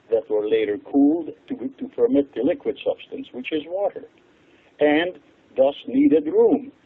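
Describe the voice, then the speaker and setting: Older Hungarian-accented man, gravelly and husky, lively swooping delivery over a tinny phone line. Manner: animated, chuckles often, pitch leaps for emphasis. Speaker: interview guest, water expert. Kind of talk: explanatory interview talk.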